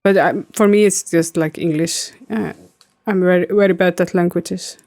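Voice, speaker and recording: Gravelly Icelandic woman streaming a game. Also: lowish voice, steady tone, moderate pace, darkish tone. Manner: rambling, many fillers, answering chat. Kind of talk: casual gaming stream chat.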